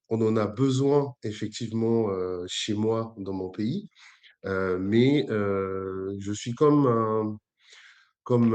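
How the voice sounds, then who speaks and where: A man's voice: fairly low pitch, darkish tone. Hesitant man in his forties. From Congo-Brazzaville. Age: 40s. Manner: hesitant, many fillers and restarts. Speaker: entrepreneur guest. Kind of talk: interview, conversational.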